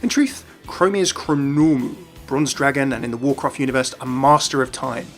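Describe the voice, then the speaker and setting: A man's voice: mid-range voice, normal speed, soft background music. A man hosting a gaming video with enthusiastic scripted narration.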